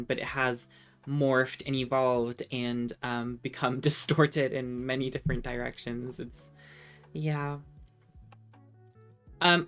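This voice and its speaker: A young woman with a drifting Californian accent talks in a low, smooth voice. She meanders through viewer comments, full of ums and restarts and a feverish edge. Faint music plays under her. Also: a bit bright. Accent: Californian American, shifted by time abroad. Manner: rambling, many ums and restarts, laughs often. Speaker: streamer. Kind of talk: casual live-stream chat reading comments.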